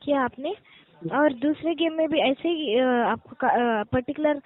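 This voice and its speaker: A woman's voice: high voice, a little slow. A woman working as a customer care agent on a phone call, speaking politely and formally in scripted support phrases and step-by-step instructions. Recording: muffled narrow phone-call line.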